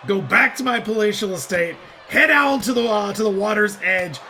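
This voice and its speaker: A man calling wrestling matches play by play in a jokey, rambling style, breaking into shouted calls of the action. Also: high voice, normal speed, a little hiss or hum. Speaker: play-by-play commentator. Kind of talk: comedic wrestling commentary.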